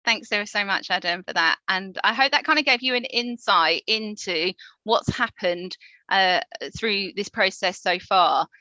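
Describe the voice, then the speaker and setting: A woman's voice: medium pitch, measured pace, bright, forceful tone, dry recording, highs cut off. A woman hosting a panel discussion in a conversational moderating style.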